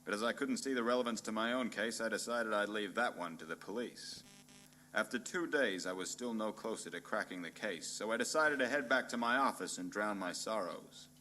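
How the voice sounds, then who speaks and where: A man playing a hard-boiled, pompous and bumbling private detective in a noir radio play parody, narrating in a deadpan, melodramatic way. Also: fairly low pitch, brisk, low-quality recording. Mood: deadpan brooding.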